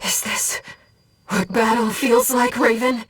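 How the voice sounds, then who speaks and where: A woman voicing a gentle, composed, earnest disembodied female guide in a video game, speaking in a measured, softly formal and precise way. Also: fairly high pitch, level tone, slightly rough, distant mic. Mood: tender, solemn. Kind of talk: scripted video game voice acting, mission guidance. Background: music.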